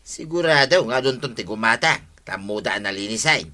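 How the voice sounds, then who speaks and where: A man's voice: medium pitch, lively intonation, moderate pace, bright, forceful tone. A man with an Ilocano accent acting a brash, chummy villager in a comedy radio drama. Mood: jovial.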